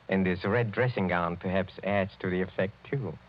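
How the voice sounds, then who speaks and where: An actor playing a sinister, genial old aristocrat in 1940s radio drama, with a deliberate, suavely menacing manner. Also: male voice, deep voice, soft background music. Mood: sly amusement. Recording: muffled, noisy old radio recording.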